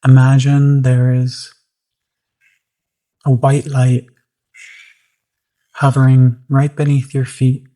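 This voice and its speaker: Very mellow, slow meditation guide. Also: mid-range voice, completely flat delivery. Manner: gentle, unhurried, long pauses between phrases.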